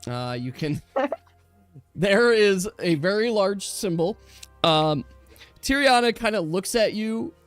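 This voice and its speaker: A man's voice: high voice, lively intonation, slow, no room sound, faint music behind. A man speaking casually as game master, narrating and managing an online tabletop game. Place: online voice call.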